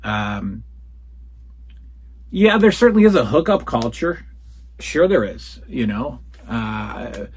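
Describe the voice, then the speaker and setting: A man's voice: mid-range voice, slightly rough. A man in his forties hosting a solo show, talking casually and rambling from a home den, calling his listeners folks. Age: 40s. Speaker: podcast host answering listener questions. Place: home den full of retro toys and posters.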